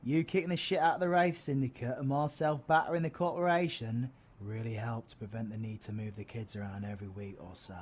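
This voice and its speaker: A man's voice: medium pitch, a little hiss or hum, natural voice. Man playing a cheeky, theatrical British supervillain. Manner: theatrical, slangy, swinging from soft to shouting. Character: cheeky, flamboyant young male supervillain, affectionate and chaotic. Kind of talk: audio roleplay acting.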